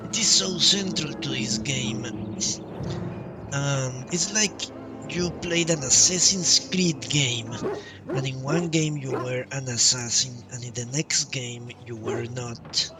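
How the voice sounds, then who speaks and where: Slow-talking male streamer, off-mic. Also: mid-range voice. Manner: musing, thinking aloud. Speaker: game streamer. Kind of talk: live playthrough commentary. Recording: distant-sounding mic, game music behind. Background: video game music.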